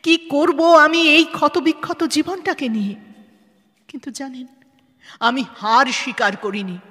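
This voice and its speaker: Woman acting earnest, high-voiced resilient woman, reverberant. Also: fairly even intonation, unhurried, a bit bright. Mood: impassioned. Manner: dramatic, emphatic, repeats words for weight. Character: earnest, resilient woman NGO head who survived tragedy. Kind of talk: Bengali audio drama acting. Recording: long hall reverb, music underneath.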